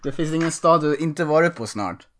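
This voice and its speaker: A man's voice: on the high side, brisk, slight background noise. A man hosting a soccer podcast, chatting casually and repeating phrases, often calling people "man". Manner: relaxed, repeats himself, says "man" a lot. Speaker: podcast host.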